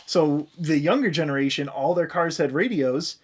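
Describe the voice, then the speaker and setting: An American man co-hosting a comedy podcast, explaining history in casual, profane, animated chat. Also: fairly high pitch, moderate pace, breathy edge. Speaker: podcast co-host.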